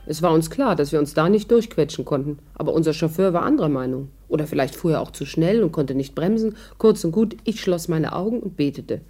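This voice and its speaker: A woman's voice: low-pitched, expressive, a little fast, few pauses, dark tone, faint music behind. An actress playing a witty, spirited, worldly woman in a 1950s radio drama, wry and articulate as she narrates and talks. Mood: lively storytelling.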